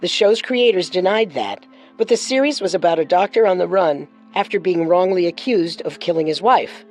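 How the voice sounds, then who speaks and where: A woman's voice: lowish voice, normal speed, a little mellow, soft background music. A woman co-hosting a podcast, reading scripted true-crime narration with dramatic storytelling and wry touches.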